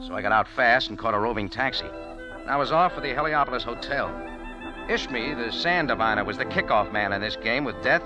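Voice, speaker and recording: A man's voice: medium pitch, close to an everyday voice, low-quality recording. An actor playing a tough, wry cafe owner who narrates his own adventure in 1940s radio style. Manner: tough, wry, quick wisecracks. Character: hard-boiled cafe owner, wisecracking narrator. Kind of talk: 1940s radio acting and first-person narration.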